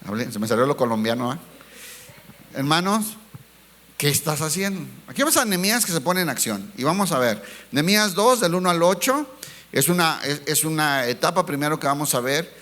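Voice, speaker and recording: A man preaching a sermon as a pastor. He asks the congregation rhetorical questions, calls them brothers, and slows down to stress key words. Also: fairly high pitch, expressive, pushed and bright.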